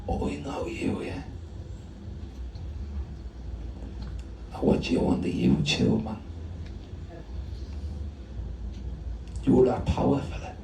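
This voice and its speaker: A man playing a jeering former friend in a spoken-word story, a rough, confrontational Dublin lad. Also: fairly low pitch, huge pitch swings, moderate pace, dark tone, a little hiss or hum, voice pushed down, heavily acted. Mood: slurred recognition. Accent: inner-city Dublin. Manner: needling, confrontational. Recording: echoey room, distant from mic.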